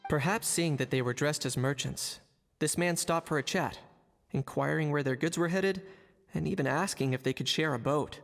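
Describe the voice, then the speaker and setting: Gravelly, reverberant game investigator. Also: male voice, on the high side, soft background music. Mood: calm, explanatory. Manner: measured, deliberate, analytical. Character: observant, composed male investigator in fantasy game. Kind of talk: video game narration and inner monologue.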